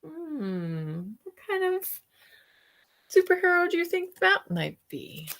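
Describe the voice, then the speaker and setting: A woman reading a picture book aloud to children, expressive, with soft musing questions for young listeners. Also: extremely high pitch, slow pace. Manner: expressive reading, alternating with softer musing asides and hums. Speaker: read-aloud storyteller for children.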